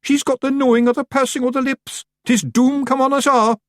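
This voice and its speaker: A man voicing tiny panicky fairy men who wail in squeaky Scottish voices. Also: measured pace, darkish tone, no echo, clean studio sound, close to an everyday voice. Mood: panicked. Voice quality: wailing, squeaky.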